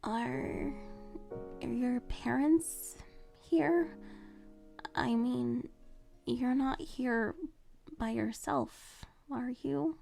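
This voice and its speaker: A woman voicing a shy, timid teenage girl who speaks softly and haltingly. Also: fairly high pitch, creaky, faint music behind, voice pushed up, full character voice. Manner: hesitant, soft-spoken, halting.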